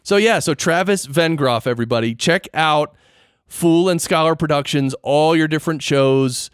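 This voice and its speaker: A man's voice: a little high, moderate pace, clear, resonant tone, no room sound, clean studio sound. A man hosting a podcast in casual, upbeat interview chat. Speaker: podcast host.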